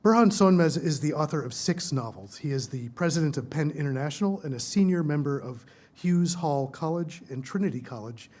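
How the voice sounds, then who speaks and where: A man hosting a library event, reading formal introductions of the speakers in a measured, prepared way. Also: a little high, lively intonation, a little mellow. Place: lecture hall at a research library.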